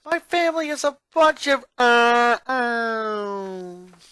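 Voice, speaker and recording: A very high, sharp-edged young schoolboy voice, acted by a man. He is whiny and put-upon, an exasperated, anxious cartoon kid who trips over words when nervous. The sound is dry and close. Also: animated, slow, clear, resonant tone, dry recording, exaggerated voice. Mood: grumbling, fed up. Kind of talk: dramatic fan fiction reading.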